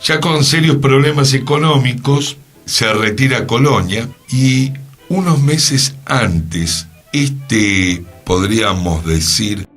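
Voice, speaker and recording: Deep-voiced Argentine man, slow and smooth. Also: a bit bright. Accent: Argentine, Rioplatense. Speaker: radio programme host. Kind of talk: conversational cultural history talk. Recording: music behind the voice. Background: music.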